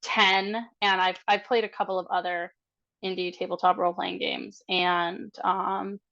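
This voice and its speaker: A woman's voice: on the low side, little pitch movement, unhurried, vocal fry, a bit bright. A woman, a tabletop player, introducing herself casually on an online video call. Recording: dull, lo-fi call audio.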